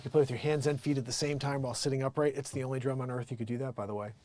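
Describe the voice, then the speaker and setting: An American man chatting casually to livestream viewers as a musician host, in an excitable, rambling, hyped-up way. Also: medium pitch, racing, background noise. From United States. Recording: loud music behind the voice.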